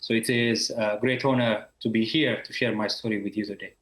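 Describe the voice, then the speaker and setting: A man's voice: on the low side, fairly even intonation, fairly quick, a bit bright, roomy sound, rough recording. A man from Ethiopia, a university professor in his thirties, answering interview questions over a video call with many fillers and restarts.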